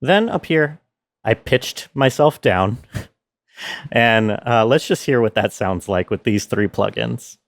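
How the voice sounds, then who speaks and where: A man walks listeners through audio production in a casual, explanatory way. He takes his time, with a medium-pitched voice. The recording is close and dry, with very little room sound. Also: lively intonation, takes it slowly, gravelly, no room sound. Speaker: sound designer presenting his own work. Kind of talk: casual tutorial walkthrough.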